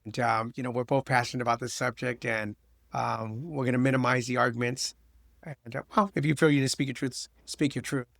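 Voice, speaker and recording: A man's voice: medium pitch, fairly even intonation, fairly quick, creaky, a bit bright, dry recording, studio quality. A man hosting a podcast with his daughter, chatting loosely and joking with many fillers. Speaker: podcast host. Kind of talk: casual chat.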